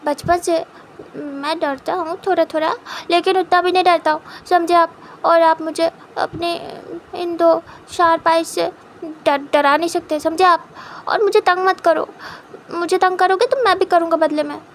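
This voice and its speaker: A woman's voice: steady tone, normal speed, slight background noise. A woman voicing a playful, pouting young man who boasts that he is not afraid. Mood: teasing boast. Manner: higher, louder teasing voice.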